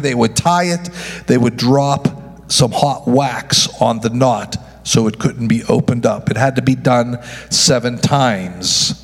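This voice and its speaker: A male pastor preaching a sermon, calling out to the congregation and often stumbling and correcting himself. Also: medium pitch. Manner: preaching with call-and-response to the congregation. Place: church sanctuary. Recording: long echo of a large hall.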